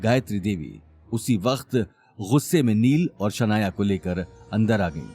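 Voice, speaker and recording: A man's voice: on the low side, expressive, a little slow, warm tone. A man narrating a scripted story in a dramatic style.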